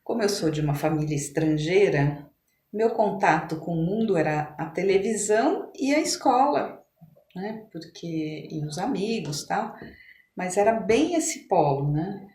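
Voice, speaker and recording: A mature Brazilian woman chatting as a podcast guest, telling stories in an animated but halting way that circles back on phrases. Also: fairly low pitch. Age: middle-aged or older. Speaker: podcast guest, doctor and amateur musician. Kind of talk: casual conversational storytelling in an interview. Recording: echoey room with some background noise.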